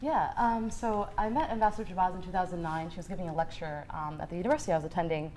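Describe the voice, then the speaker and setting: A woman's voice: fairly low pitch, rapid-fire, a little mellow. A Sudanese American woman from Sudan answering interview questions conversationally as a guest. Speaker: fellowship guest, marketing professional.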